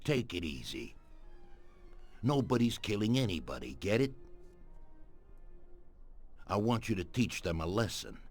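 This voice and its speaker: A man playing a commanding middle-aged mob boss in a video game, measured, authoritative and menacing. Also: lowish voice. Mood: calming, firm. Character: commanding middle-aged male mafia don, coldly menacing.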